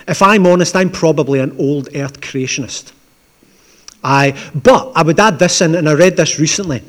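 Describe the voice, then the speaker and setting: A man with a Glaswegian accent, preaching as the pastor of a church in a conversational, gently humorous way. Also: fairly high pitch, normal speed. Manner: conversational, with asides and dry humour. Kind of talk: sermon with opening prayer. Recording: long church reverb.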